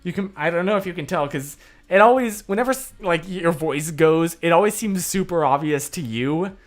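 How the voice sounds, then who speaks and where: A hoarse young man hosting a livestream, chatting casually and rambling off topic with easy laughs. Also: a little high, moderate pace. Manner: rambling, loses his train of thought; laughs easily. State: hoarse, voice worn out. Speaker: livestream host. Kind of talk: casual livestream chat, answering comments.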